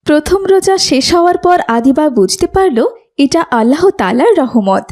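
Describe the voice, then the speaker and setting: Female narrator for children's moral story, notably high and expressive. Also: moderate pace.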